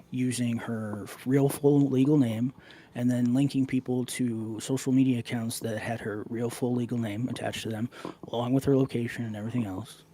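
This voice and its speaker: A man giving unscripted commentary in a halting way, pausing as he goes. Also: medium pitch, dark tone.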